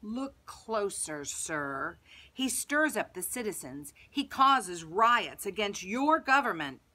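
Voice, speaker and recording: A woman voicing a stern, scheming male high priest who presses his accusations in a storybook reading. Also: big pitch swings, takes it slowly, sharp tone, heavily acted. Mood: accusing. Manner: insistent, accusing, emphatic.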